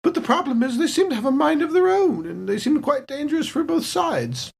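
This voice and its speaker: A woman playing a suspicious, smooth-talking female space station agent with arch, hesitant politeness in a tabletop game. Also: high voice, sing-song, a little fast, a little mellow, full character voice. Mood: conspiratorial. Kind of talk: improvised tabletop roleplay.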